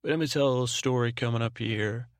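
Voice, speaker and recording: Male sleep podcast host, mid-pitched with heavy vocal fry, very dry sound. Halting, repetitive, meandering bedtime rambling. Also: dry recording. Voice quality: soothing, soft-spoken drone. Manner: meandering, halting, repeats and trails off. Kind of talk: rambling bedtime monologue meant to lull.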